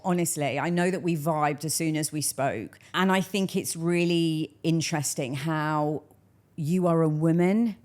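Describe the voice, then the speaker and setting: Low-pitched woman hosting an interview. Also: darkish tone. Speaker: interview host.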